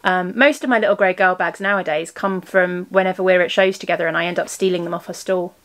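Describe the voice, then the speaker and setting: A woman's voice: fairly low pitch, steady tone, fast talker. A woman who is losing her voice hosts a crafting podcast and chats casually while showing her knitting projects to the camera. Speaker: crafting podcast host and yarn shop owner. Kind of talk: casual video podcast chat.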